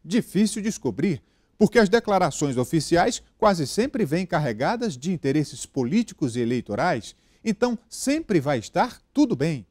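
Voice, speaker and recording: A man's voice: a little high, normal speed, dry recording. A man in his forties with a Brazilian Portuguese accent giving an emphatic opinion commentary in a TV studio on a lapel mic. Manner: lively, emphatic opinion delivery. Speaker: TV news commentator. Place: TV news studio.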